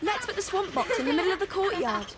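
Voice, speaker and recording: A woman's voice: extremely high pitch, big pitch swings, quick pace. An actress playing a bossy, conspiratorial teenage schoolgirl in a video game, purposeful in manner. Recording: noisy ambience behind.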